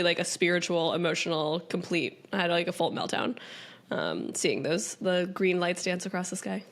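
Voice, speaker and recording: A woman co-hosting a podcast in casual, playful chat, a little raspy and nasal from a cold, laughing often. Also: lowish voice, a bit bright. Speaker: podcast co-host.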